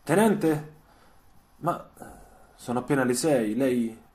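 A man voicing an embarrassed, bony army sergeant who speaks haltingly in a radio story. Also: medium pitch, darkish tone, close to an everyday voice. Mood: startled, awkward.

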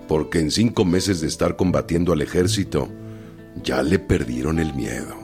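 A man's voice: extremely low pitch, moderate pace, a little mellow, faint music behind. A man with a Mexican accent playing a frank, folksy, bold revolutionary colonel in a radio drama. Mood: frank, insistent.

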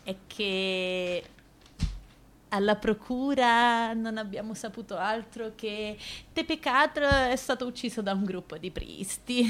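A woman's voice: fairly high pitch, fairly bright tone. An actress playing a condescending, domineering woman prosecutor in a radio play, mocking and insinuating in a theatrical manner. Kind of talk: theatrical radio drama acting.

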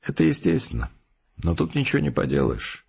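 An actor playing a calm, determined scientist who explains things earnestly and deliberately in an audio drama. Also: male voice, mid-range voice, creaky, background noise, heavily acted. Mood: earnest, reasoning. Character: resolute philosophical male scientist, calm, determined, reasoning patiently. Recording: muffled, with prominent music behind. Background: music.

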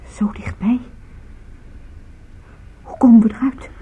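An actress playing an anxious but composed woman in danger in a radio play, questioning in a hushed, tense way. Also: on the high side, steady tone, takes it slowly, slightly rough, in a whisper, muffled recording, exaggerated voice. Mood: hushed, afraid.

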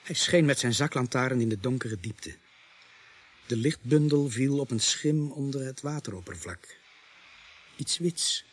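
A man playing a sombre police sergeant who narrates a radio play in a grave, deliberate, husky voice. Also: mid-range voice. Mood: grim, measured. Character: sombre male police sergeant recounting a grisly night.

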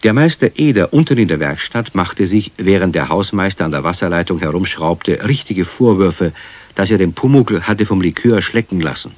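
A man narrating a children's radio play as a calm, wry storyteller in a scripted delivery. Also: low voice, moderate pace, dry recording. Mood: wry, storytelling.

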